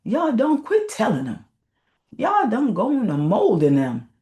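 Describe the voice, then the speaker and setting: A woman's voice: on the high side, wildly swooping pitch, unhurried, mellow, pitched above the performer's own voice. A woman voicing a cantankerous old man in a folktale, scolding in folksy Southern dialect. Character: cantankerous old grandfatherly man telling tall tales.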